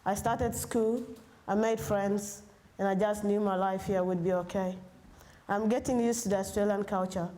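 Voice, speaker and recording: A woman's voice: medium pitch, fairly even intonation, moderate pace, dark tone. A young woman from Sudan with an East African accent, presenting her personal story. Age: young adult. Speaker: refugee student presenter.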